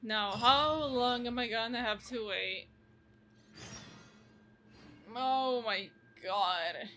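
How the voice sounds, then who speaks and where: A woman's voice: on the high side, extremely slow, bright, forceful tone, slight background noise. A woman giving casual, informal reactions as she plays through a game. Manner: informal, chatty. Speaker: game streamer.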